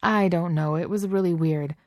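A woman's voice: fairly low pitch, moderate pace, dry recording, studio quality, close to an everyday voice. A woman voicing a huge but timid, apologetic young male beast in a story, hesitant and stammering, with teenage slang. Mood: awkward, confiding. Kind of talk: audiobook character voice.

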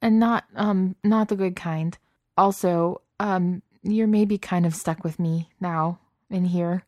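A woman's voice: lowish voice, normal speed, darkish tone, no room sound, studio quality, lightly acted. A woman voicing a huge but timid, apologetic young male beast in a story, hesitant and stammering, with teenage slang. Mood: miserable, apologetic. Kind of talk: audiobook character voice.

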